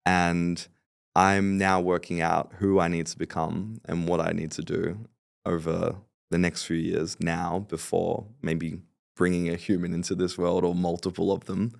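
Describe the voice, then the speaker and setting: A man's voice: sits low, level tone, measured pace, creaky, dry recording, clean studio sound. A man in his late twenties, a podcast guest talking confidently and agreeably about his business. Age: 20s. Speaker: podcast guest, fulfillment company founder. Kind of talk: casual interview talk.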